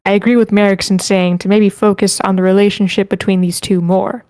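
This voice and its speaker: A woman's voice: medium pitch, flat delivery, a little fast, darkish tone, dry recording, studio quality. A woman hosting an online group session, casually moderating the chat and reading comments aloud. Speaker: host of an online script table read.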